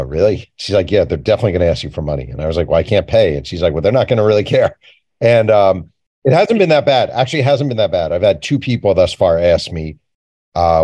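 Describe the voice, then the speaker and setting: A man's voice: sits low, mellow. A man speaking as an interview guest, telling stories casually and informally, often quoting bits of remembered conversation. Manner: informal, acts out remembered dialogue plainly. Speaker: guest, journalist and author.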